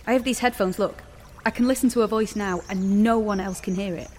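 A young British actress playing an anxious, stubborn woman with an urgent, halting manner in audio drama dialogue. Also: medium pitch, a little mellow, slight background noise, natural voice. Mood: explaining, earnest.

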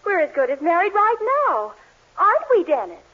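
An actress playing a determined, girlish young bride-to-be in a 1940s radio sitcom, insistent and excitable. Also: very high voice, normal speed. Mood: eager, insistent. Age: young adult. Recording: very noisy, muffled 1940s radio recording.

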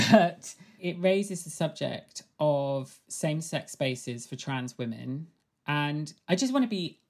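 Interviewer asking careful questions. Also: medium pitch.

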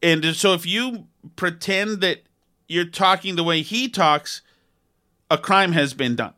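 A man with a high, bright and forceful voice banters on a podcast. He rambles and argues in a sarcastic tone, piling on mock praise. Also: unhurried. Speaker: podcast co-host. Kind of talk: casual banter.

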